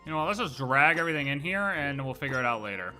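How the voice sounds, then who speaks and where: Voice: medium pitch, big pitch swings, fast talker, bright, forceful tone. A game streamer reacting casually and bluntly, reading game text aloud and muttering sarcastic asides. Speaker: streamer playing a video game. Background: game music.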